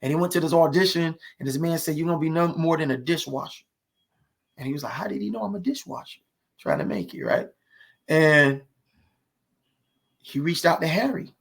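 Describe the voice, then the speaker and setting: High-voiced man telling stories in conversation. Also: expressive, brisk, creaky, pauses often. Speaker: podcast guest, actor. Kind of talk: conversational storytelling interview.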